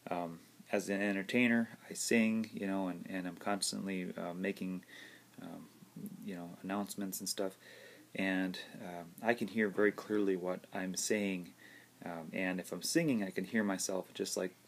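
A man in his thirties giving a casual, conversational gear review as a DJ, in a halting way, recorded indoors at arm's length. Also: low voice, steady tone, gravelly. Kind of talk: casual video review talk. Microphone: phone or camera at arm's length.

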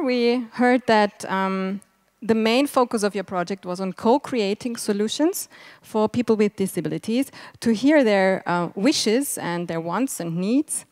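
A woman gives an improvised spoken summary at a conference, speaking in a halting, deliberate way. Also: medium pitch, dry recording. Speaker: session summarizer. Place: conference session, indoors.

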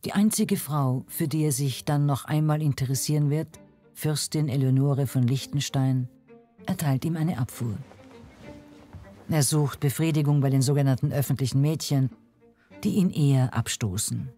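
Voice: medium pitch, normal speed, mellow. A narrator reading a scripted documentary narration. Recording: music bed under narration. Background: music.

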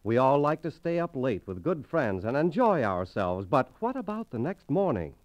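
A man's voice: mid-range voice, big pitch swings, moderate pace, lo-fi sound. An American man reading scripted 1930s radio advertising as an announcer. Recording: restored 1930s radio transcription disc.

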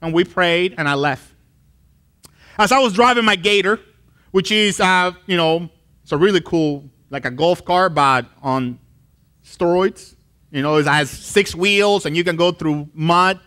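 A man's voice: high voice, a little slow, sharp tone. A man in his thirties from Colombia, a pastor preaching a sermon on a church stage, telling a story animatedly with acted-out voices. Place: church stage with wood wall.

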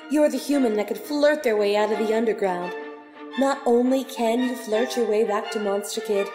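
An echoing, fairly high female voice reads out a message. She is emphatic and encouraging, drenched in reverb with music behind. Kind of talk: reading a written fan message aloud. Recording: echoey hall reverb over music.

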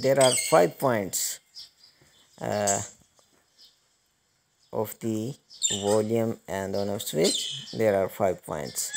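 Male repair tutorial host, slow halting delivery, step-by-step explanations, clearly noisy recording. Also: on the low side. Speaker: host of electronics repair channel. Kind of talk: hands-on repair tutorial narration.

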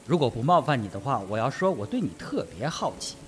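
A man's voice: medium pitch, sing-song, fast talker, soft background music, natural voice. A man playing a sardonic, self-possessed colonel in a Mandarin radio mystery, with a mocking, provocative manner. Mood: coolly probing. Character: sardonic, self-possessed colonel suspected of murder, needling the others.

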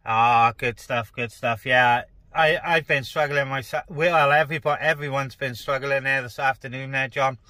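A man's voice: medium pitch, steady tone, moderate pace, hardly any pauses, pushed and bright, no echo. A man chatting casually as a CB radio operator, speaking colloquially and repeating phrases, inside a parked car.

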